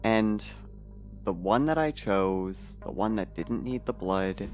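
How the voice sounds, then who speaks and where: A man playing a troubled, pessimistic man in an audio fiction monologue, confessing in a halting, hesitant way. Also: lowish voice, expressive, darkish tone, soft background music. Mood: hesitant, uneasy.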